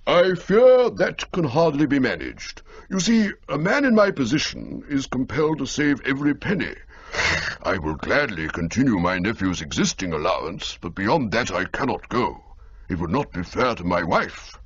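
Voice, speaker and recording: A man voicing a pompous elderly gentleman who talks in a slow, formal, stately way. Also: mid-range voice, very animated, breathy edge, no echo, pitched above the performer's own voice. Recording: slightly muffled lo-fi audio.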